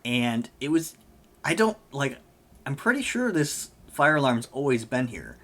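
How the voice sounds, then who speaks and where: Hoarse man rambling through gameplay. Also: mid-range voice, big pitch swings, measured pace, fairly bright tone. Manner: rambling, many fillers and self-corrections. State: losing his voice. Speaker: gaming YouTuber. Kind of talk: casual game commentary.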